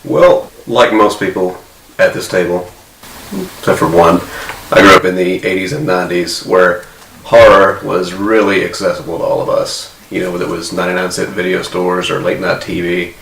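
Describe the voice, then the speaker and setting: A man in his forties, a podcast co-host, chatting at a table with others in a measured, reflective way. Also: deep voice, level tone, gravelly, a bit bright, far from the mic. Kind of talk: casual roundtable chat. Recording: echoey room, away from the mic, faint noise.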